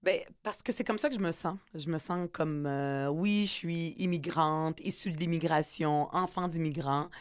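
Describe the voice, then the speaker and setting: Guest, woman in her 40s, Quebec accent, native Arabic speaker, low pitch, dry close sound, earnest and halting. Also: clear, resonant tone, no room sound. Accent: Quebec French. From Middle East, raised in Quebec from age ten. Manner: earnest and reflective, many hesitations. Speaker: politician and author guest. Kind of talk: conversational interview.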